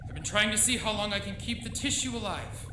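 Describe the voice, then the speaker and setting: A man's voice: high-pitched, a little fast, background noise, close to an everyday voice. Man playing a zealous radio-drama scientist, roomy stage. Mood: proud explaining. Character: obsessive, proud male scientist, father, coldly zealous. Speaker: actor. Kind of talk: live old-time radio drama acting. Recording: roomy live stage, loud music behind.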